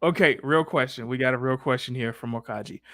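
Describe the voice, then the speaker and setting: A man's voice: medium pitch, fairly quick, sharp tone, dry recording. A man hosting a casual livestream Q&A with slangy, mock-exasperated banter. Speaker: livestream host.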